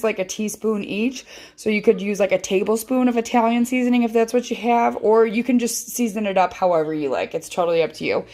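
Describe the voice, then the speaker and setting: A woman hosting a casual home cooking tutorial from her kitchen, rambling and chatty with lots of ums, filmed on a handheld phone. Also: mid-range voice. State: feels her voice sounds off. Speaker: home cooking channel host.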